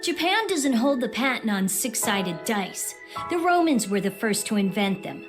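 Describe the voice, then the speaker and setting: A woman's voice: on the high side, sing-song, normal speed, music in the background. A woman playing a proud, guarded exiled boy prince of about ten in an anime audio drama, haughty and introspective. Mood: haughty, annoyed.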